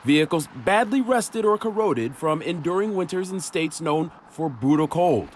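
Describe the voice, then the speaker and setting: A man's voice: a little high, expressive, moderate pace, slight background noise. A man reading a scripted TV news report as a reporter.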